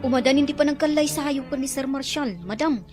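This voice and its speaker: A woman with an Ilocano accent playing an animated, teasing companion to a bride in a radio drama. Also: high-pitched, brisk, soft background music, natural voice. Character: chatty companion Joven addressing the bride as madam. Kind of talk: Filipino radio drama acting.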